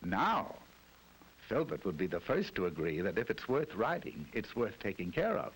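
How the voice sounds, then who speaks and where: A man's voice: lowish voice, big pitch swings, moderate pace, a little hiss or hum, poor audio. A man giving scripted 1960s educational film narration in a wry, mock-solemn storytelling manner. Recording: narration over loud music.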